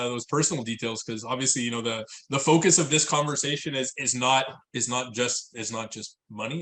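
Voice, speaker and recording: A man co-hosting over a video call, talking in a roundabout way and restarting sentences often. His voice sits at an ordinary pitch. The call audio has a roomy echo. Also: fairly bright tone. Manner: rambling with many restarts and "you know". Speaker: podcast co-host, financial advisor. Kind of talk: casual chat on a call.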